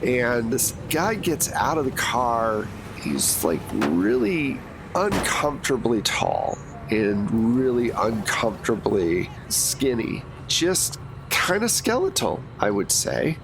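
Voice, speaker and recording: A man narrating as game master of a tabletop game, describing scenes in a relaxed way with frequent fillers. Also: mid-range voice, slow. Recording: noisy background.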